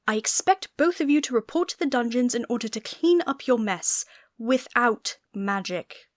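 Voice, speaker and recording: A woman's voice: a little high, animated, moderate pace, no room sound, pitched above the performer's own voice, lightly acted. A stern female professor acted by a woman narrator in an audiobook. She scolds her students in a commanding manner, recorded close and dry.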